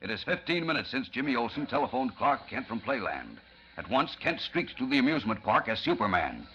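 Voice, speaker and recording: An actor playing an urgent announcer, giving scripted narration for a 1940s radio serial. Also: male voice, medium pitch, very animated, brisk, pushed and bright. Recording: muffled, noisy old radio recording.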